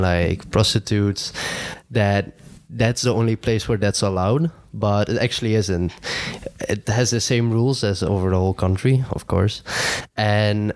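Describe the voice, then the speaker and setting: Young Dutch man, low voice. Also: clear, resonant tone. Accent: southern Dutch. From Netherlands. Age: 20s. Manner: rambling, many fillers and restarts. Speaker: podcast guest. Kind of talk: casual interview chat.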